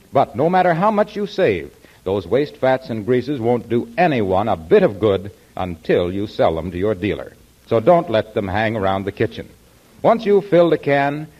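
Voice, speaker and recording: A man's voice: medium pitch, a little mellow. A man announcing in scripted 1940s radio style, signing off a show and making appeals to listeners. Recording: muffled 1940s radio broadcast recording.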